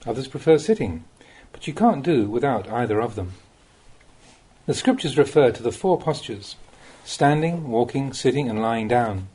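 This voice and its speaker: A man reading a Buddhist teaching aloud as an audiobook, as a monk would, with measured and expressive phrasing. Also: medium pitch, husky. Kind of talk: read-aloud Dhamma talk, audiobook narration.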